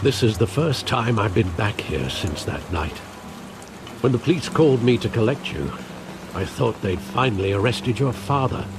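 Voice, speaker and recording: An actor playing a loyal elderly male butler, speaking in a measured, formal, grave way. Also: lowish voice, big pitch swings, background noise. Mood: reflective. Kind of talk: video game cutscene acting. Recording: game audio with rain ambience. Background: rain and ambience.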